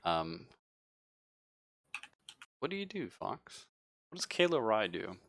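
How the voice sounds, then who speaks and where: A man running a horror tabletop game as game master, narrating in deliberate, vivid detail and chatting casually with players. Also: lowish voice, big pitch swings, gravelly, a bit bright, no room sound, clean studio sound. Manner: slow, deliberate, vivid descriptive storytelling with pauses.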